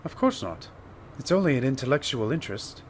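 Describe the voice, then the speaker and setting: A man's voice: mid-range voice, sing-song, brisk, slight background noise, lightly acted. A man playing a courteous, inquisitive scholarly doctor in an audio drama, with precise and formal wording. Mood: agreeable. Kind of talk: fan audio drama acting.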